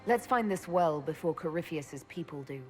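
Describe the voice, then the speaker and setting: A woman voicing a practical female leader who speaks directly and briskly. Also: deep voice, lively intonation, over music. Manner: direct, brisk plain speech. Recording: game cutscene with clear score.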